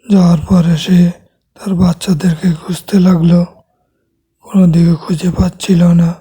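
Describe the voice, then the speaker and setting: Dark, husky woman narrating a story. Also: on the low side, flat delivery, normal speed, big-room sound. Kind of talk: scripted children's cartoon story narration.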